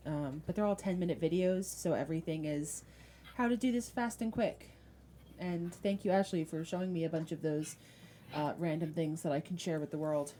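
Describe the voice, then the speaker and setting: A woman with a fairly low, soft-edged voice talks casually, like a game master describing their projects. The tone is relaxed and mellow, and there is some noise behind the voice. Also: normal speed. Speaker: tabletop game master promoting own shows. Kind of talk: casual chat.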